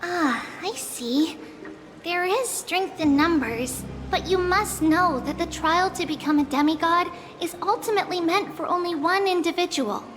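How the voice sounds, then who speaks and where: A woman playing a gentle, caring girl-like guide in a video game, speaking softly and reassuringly. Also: very high voice, measured pace. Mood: earnest, supportive. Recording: long reverb, music behind.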